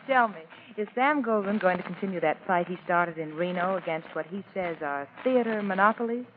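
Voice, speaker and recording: A woman's voice: fairly low pitch, animated, normal speed, lightly acted. An actress playing an inquisitive woman in a scripted 1940s radio show. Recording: tinny, noisy 1940s radio.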